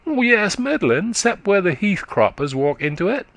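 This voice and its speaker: Piping little country boy, chattering, rustic dialect, lively sing-song pitch, dry close sound, voiced by a man. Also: normal speed, gravelly, no echo, full character voice. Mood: eager. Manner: childlike, piping, dialect speech.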